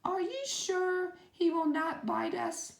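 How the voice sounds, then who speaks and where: A woman voicing a tiny, proud queen of the field mice in a squeaky, very high voice, in a storybook reading. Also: huge pitch swings, a little slow, sharp tone, exaggerated voice. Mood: timid. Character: tiny regal female mouse queen, proud. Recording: echoey room.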